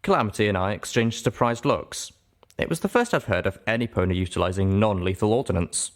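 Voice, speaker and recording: A man with a fairly low voice narrating a story aloud in an expressive audiobook style. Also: fairly quick. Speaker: narrator reading a fan fiction story aloud.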